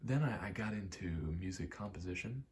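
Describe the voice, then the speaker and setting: A young man giving a soft-spoken, halting, reflective ASMR ramble, telling personal stories in a hushed voice close to the mic. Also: fairly low pitch. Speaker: ASMR creator.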